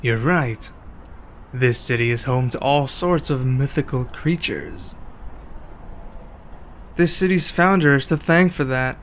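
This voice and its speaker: A man acting a one-sided ASMR roleplay as a laid-back, friendly werewolf local, speaking casually and in a measured way with pauses. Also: medium pitch, a bit bright, slight background noise.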